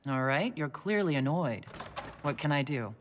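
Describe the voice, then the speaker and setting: A woman playing a composed, caring female warrior hero in a video game, speaking in a measured, gently probing way. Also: low-pitched, animated, a little hiss or hum. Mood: calm, concerned. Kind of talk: video game cutscene acting.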